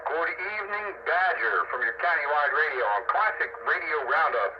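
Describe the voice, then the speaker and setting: A man's voice: fairly high pitch, lively intonation, normal speed. A man hosting a casual radio chat, talking in a rambling way with repeated words, over a radio microphone. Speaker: host of amateur radio round-up. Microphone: radio microphone at arm's length. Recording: noisy, dull two-way radio transmission.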